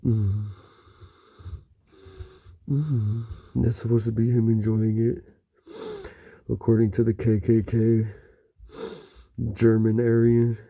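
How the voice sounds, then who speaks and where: A man talking in a halting, rambling monologue, murmuring and pausing with frequent low hums. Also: flat delivery, warm tone.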